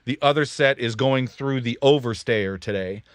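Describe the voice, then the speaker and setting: A man's voice: medium pitch, moderate pace, no room sound. Midwestern man, studio engineer, casual tech chat, self-correcting, dry close mic. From Kansas, USA. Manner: rambling, self-correcting, jokey. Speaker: recording studio owner and livestream host. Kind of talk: casual technical livestream chat. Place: recording studio control room.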